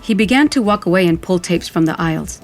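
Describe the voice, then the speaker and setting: A woman's voice: lowish voice, animated, fast, faint music behind. A woman narrating audio fiction in the first person, with a wistful, vivid storytelling manner.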